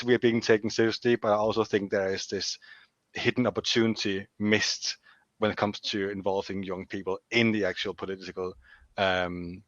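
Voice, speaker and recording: A man's voice: lowish voice, fairly bright tone, dry recording. A man in his twenties with a Danish accent, speaking frankly on an online panel with many restarts. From Denmark. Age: 20s. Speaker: youth organization chair, panelist. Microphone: video call. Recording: dull dry video call audio.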